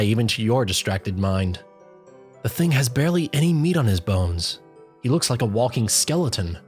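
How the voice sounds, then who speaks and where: A mid-pitched narrator delivers scripted storybook prose over light background music. The reading is addressed to the listener in the second person, as in an audio fanfic. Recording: music behind the voice.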